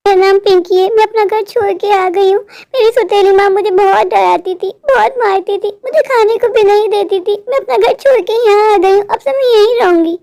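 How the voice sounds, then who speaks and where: Woman doing a sad sickly little girl for a cartoon, super high voice, pleading, heartbroken, really dry sound. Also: a little fast, few pauses, mellow, no room sound. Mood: weak, pleading. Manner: pleading, plaintive. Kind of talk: melodramatic Hindi cartoon moral story.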